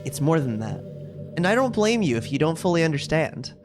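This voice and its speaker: A man's voice: a little high, big pitch swings, fairly quick. A man voicing a sweet, possessive, self-aware schoolgirl from a video game in a chatty, cutesy, confiding way. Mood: lonely pleading. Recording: music playing behind the voice. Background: game music.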